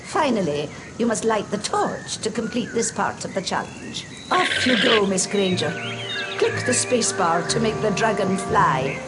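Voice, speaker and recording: A stern older schoolmistress in a video game. She speaks formally and precisely, with a lively rise and fall, over background music. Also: medium pitch, measured pace. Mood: brisk, approving. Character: stern, precise older female magic teacher. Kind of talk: instructional game narration. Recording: game audio with music behind.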